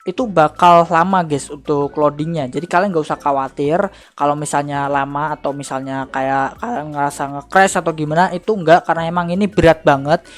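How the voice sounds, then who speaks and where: A young man presenting a casual software tutorial in an informal, slangy, chatty way. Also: fairly high pitch, fairly even intonation, fairly quick. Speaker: tutorial host. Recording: faint music under voice. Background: faint background music.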